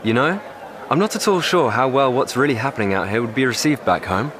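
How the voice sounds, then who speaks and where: A man's voice: mid-range voice, lively intonation, fairly quick, natural voice. A man acts a young, well-schooled English soldier in a war audio drama. He is formal and articulate, with a dry ironic edge and a mid-range voice. Distinct outdoor noise surrounds him. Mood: dry, composed. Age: young adult. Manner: formal, wordy, dryly ironic. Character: educated, articulate young English private in First World War.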